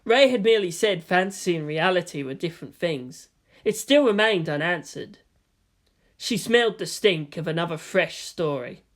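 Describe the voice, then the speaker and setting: Expressive woman narrator, lively pitch swings. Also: moderate pace. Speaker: fan fiction reader. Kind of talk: dramatic scripted narration with character voices.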